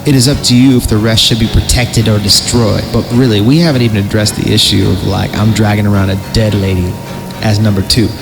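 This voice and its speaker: A man's voice: lowish voice, fairly even intonation, brisk, creaky, music in the background. A man hosting a podcast, reading horror stories in a theatrical way and breaking off for jokey asides. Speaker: podcast host. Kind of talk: dramatic horror story reading with joking asides.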